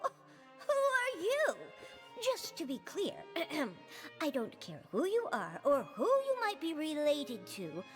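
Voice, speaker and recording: High, officious guard. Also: female voice, huge pitch swings, slow pace, a bit bright, faint music behind, exaggerated voice. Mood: stern, dismissive. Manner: brusque, formal. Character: stern officious female guard, rule-bound and dismissive. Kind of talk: anime-style video game dialogue.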